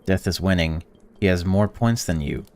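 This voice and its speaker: A man with a very low voice reads a visual novel's narration aloud in an even way. He breaks off now and then to blurt out excited or indignant reactions as he plays. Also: normal speed. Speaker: gaming channel host. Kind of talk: reading a visual novel aloud while playing.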